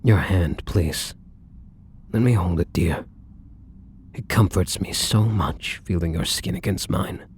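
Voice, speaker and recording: A man playing a tender, devoted, battle-weary vampire lover in an intimate ASMR roleplay, speaking softly and breathily with gentle, hesitant, affectionate pauses. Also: sits low, a little hiss or hum. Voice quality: soft, breathy, husky.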